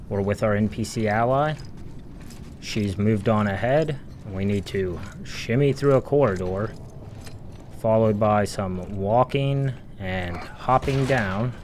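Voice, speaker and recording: Guy ranting over gameplay, lowish voice, sarcastic and fed up, swears a lot, bit of noise. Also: slow pace, a little mellow. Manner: sarcastic, exasperated, foul-mouthed. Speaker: video game critic. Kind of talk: informal analysis commentary over gameplay.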